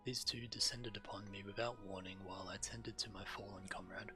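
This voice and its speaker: A man with a fairly low voice reads game quest text aloud as a streamer. Clear music plays behind him as he narrates. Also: steady tone, a little fast.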